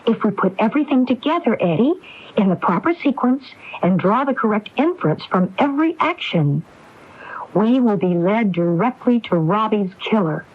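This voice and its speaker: An actress playing a resolute, determined woman in a 1980s radio mystery, speaking insistently and deliberately. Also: mid-range voice, lively intonation, natural voice. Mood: urgent, determined. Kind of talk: 1980s radio drama acting. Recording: dull, muffled AM radio recording.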